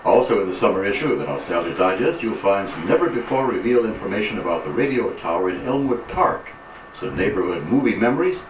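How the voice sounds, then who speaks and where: A man's voice: fairly low pitch, expressive, fast talker, roomy sound, slight background noise. An American man reading scripted radio sponsor announcements, picked up at a distance with music behind him.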